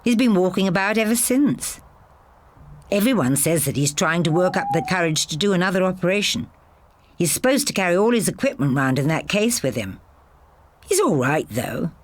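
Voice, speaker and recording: A woman's voice: fairly low pitch, expressive, moderate pace, pitched above the performer's own voice. Storybook part: cocky boy, played by a woman, exasperated, emphatic, very varied intonation. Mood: matter-of-fact, eager. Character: cocky, exasperated boy, scornful know-all. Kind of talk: audiobook character dialogue.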